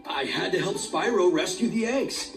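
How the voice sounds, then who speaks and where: An actor voicing an easygoing, hungry young cheetah who turns wary. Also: male voice, high-pitched, expressive, moderate pace, distant mic, over music. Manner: easygoing, suspicious.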